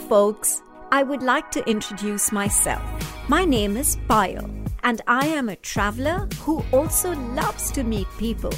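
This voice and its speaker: Higher-pitched woman hosting an interview podcast.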